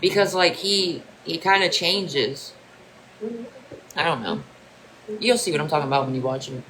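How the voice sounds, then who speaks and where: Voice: mid-range voice, moderate pace, a bit bright, slight background noise, low-quality recording. A livestreamer from Ohio in casual chat with viewers, rambling and repeating themselves, swearing freely.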